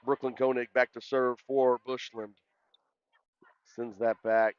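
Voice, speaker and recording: A man with a loud voice doing live play-by-play volleyball commentary in an excitable, folksy way. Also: medium pitch, a little slow, clear, resonant tone, highs cut off. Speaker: sports play-by-play announcer.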